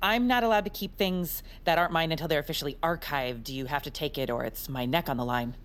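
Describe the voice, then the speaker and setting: A woman's voice: low voice, fast talker, a little hiss or hum, natural voice. An actress playing an officious female records agent in an audio drama, insistent and matter-of-fact. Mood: brisk, insistent.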